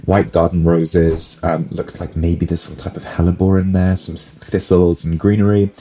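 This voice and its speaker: Low, husky-voiced male florist with a cold. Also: little pitch movement, normal speed, mellow. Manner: musing, hedging, lots of "like" and "I think". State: snuffly with a cold. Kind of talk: casual reaction commentary.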